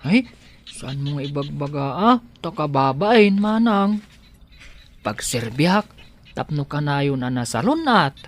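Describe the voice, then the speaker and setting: A man's voice: a little high, very animated, takes it slowly. A man with an Ilocano accent acting a shy, devoted, hesitant gardener in love in a radio drama. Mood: bashful. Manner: bashful, hesitant.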